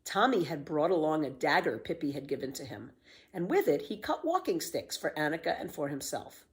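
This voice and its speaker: Casting: female children's storyteller, slightly low voice, very lively intonation, careful expressive reading, some background noise. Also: fairly quick.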